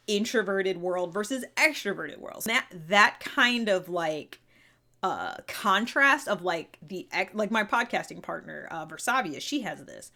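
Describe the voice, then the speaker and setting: A woman's voice: lowish voice, pushed and bright. Chatty woman explaining personality types. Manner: chatty, frequent fillers and restarts. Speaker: podcast host. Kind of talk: casual explanatory monologue.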